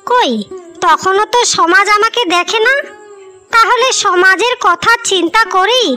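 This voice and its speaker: A woman's voice: extremely high pitch, pushed and bright, heavily acted. A woman voicing a devoted, stubborn young working woman in a cartoon story, speaking in an earnest, pleading manner. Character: devoted, stubborn orphaned young elder sister, working as maid. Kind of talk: Bengali cartoon story dialogue. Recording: music behind the voice.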